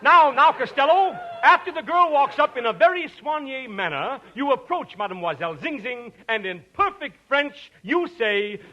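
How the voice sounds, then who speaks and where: A man playing the commanding straight man in a 1940s radio comedy, briskly instructing his partner. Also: very high-pitched, sing-song, bright, forceful tone, lo-fi sound.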